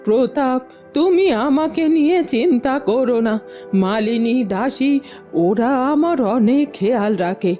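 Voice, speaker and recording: A woman's voice: high voice, lively intonation, unhurried, mellow, faint music behind. A woman playing a caring old royal grandmother in a cartoon, solemn and tender in her dramatic delivery. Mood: solemn blessing.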